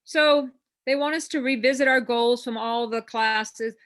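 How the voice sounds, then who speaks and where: A woman's voice: fairly high pitch, measured pace, fairly bright tone. A woman hosting a real estate class on a video call, coaching in a chatty, encouraging way that rambles with fillers. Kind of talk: informal coaching talk.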